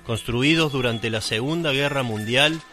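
A man from Argentina reading a scripted radio narration in a measured, solemn way, addressing the listener directly. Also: mid-range voice, bright, forceful tone, soft background music. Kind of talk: scripted dramatic radio narration over music.

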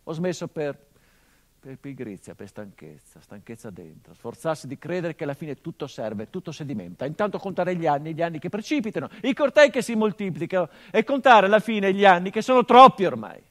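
A brooding, bitter grieving son. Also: male voice, a little high, very animated, a little fast. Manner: brooding and bitter, swinging from hushed to impassioned. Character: grieving middle-aged son of a murdered journalist. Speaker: actor in a stage monologue. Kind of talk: theatrical monologue broadcast on radio.

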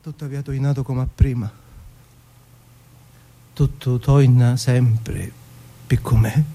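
A man acting a naive, emotional street youth from Palermo in a theatrical radio monologue, with a Sicilian accent and a childlike, halting manner. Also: medium pitch, steady tone, warm tone. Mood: tender, agitated. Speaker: stage actor performing a monologue.

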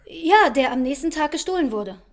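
An actress playing an anxious young daughter who asks quick, worried questions. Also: female voice, high voice, expressive.

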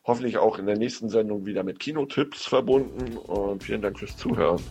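A man reviewing films on the radio in an unscripted, halting way, searching for words. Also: fairly low pitch, loud music behind the voice. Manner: halting, hesitant delivery with searching pauses. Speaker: film critic on a radio show. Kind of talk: unscripted radio film recommendations.

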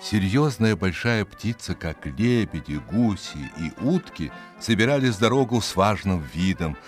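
A male storyteller with a deep voice and widely swinging, theatrical intonation. He narrates a scripted animal tale in a dry recording with music behind. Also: animated, normal speed.

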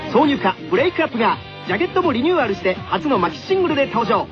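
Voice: mid-range voice, sing-song, racing. An announcer reading a scripted radio commercial. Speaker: advertisement announcer. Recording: dull radio sound, background music. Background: background music.

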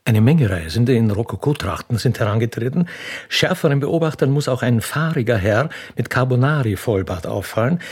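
A man's voice: mid-range voice, expressive, moderate pace, darkish tone, breathy edge. A man narrating a radio play in a scripted, dramatic style.

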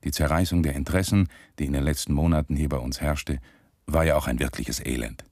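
A man's voice: very deep voice, steady tone, brisk, vocal fry, darkish tone, no echo, studio quality, heavily acted. A male actor playing a wartime German regime loyalist, passing on news in a confiding, matter-of-fact radio monologue. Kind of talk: scripted radio play monologue.

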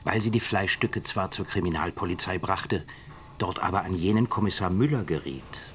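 An earnest professor speaks in a deep, measured voice. He is articulate and faintly ironic, as in a radio drama, with slight noise behind him. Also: fairly bright tone. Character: earnest male professor, narrating and pleading for mercy. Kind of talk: German radio drama narration and dialogue.

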